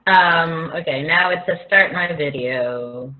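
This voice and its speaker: A woman's voice: low voice, slow. A woman from the Philippines talking casually and reflectively as a guest in a video call conversation. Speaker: guest, chef. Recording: thin phone-like video call audio.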